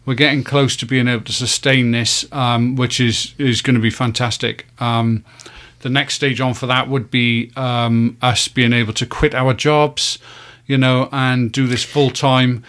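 A man hosts a podcast in a loose, rambling way, full of ums and restarts. He thanks his listeners and cracks dry jokes. His voice sits at an ordinary middle pitch. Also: little pitch movement, a little slow, a bit bright. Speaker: podcast host. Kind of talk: casual chat.

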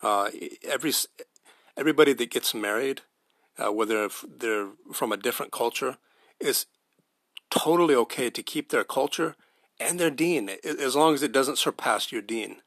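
American man, bright forceful voice, dry sound. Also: medium pitch, moderate pace, husky, no room sound. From United States. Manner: earnest, emphatic, argues with rhetorical questions. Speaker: podcast host. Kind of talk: spoken opinion monologue.

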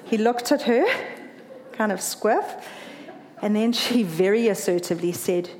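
A woman's voice: mid-range voice, unhurried, slight background noise. A woman from South Africa with a South African accent, earnestly telling a personal story about running a marathon. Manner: earnest, emotional, lively when quoting others.

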